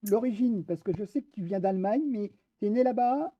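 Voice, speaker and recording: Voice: medium pitch, fairly quick, lo-fi sound, a crowd in the background. An interviewer asking friendly questions in a casual chat, laughing easily.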